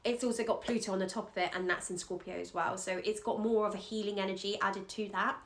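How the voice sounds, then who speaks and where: A woman's voice: medium pitch, fairly even intonation, fast, a little hiss or hum. Earnest Scottish woman reading a chart. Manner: encouraging, earnest, loose fillers and restarts. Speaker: astrologer.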